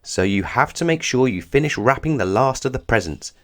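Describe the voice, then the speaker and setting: Man voicing a bossy, higher-pitched storybook little miss. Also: animated, brisk, fairly bright tone, natural voice. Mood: firm. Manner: firm, emphatic, scolding. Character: bossy, exasperated young female Little Miss Christmas.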